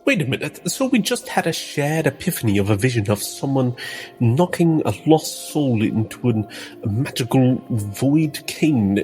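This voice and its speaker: A man voicing an eager, theatrical necromancer in a tabletop roleplaying game, excitable and emphatic. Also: medium pitch, moderate pace, soft background music, lightly acted. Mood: excited.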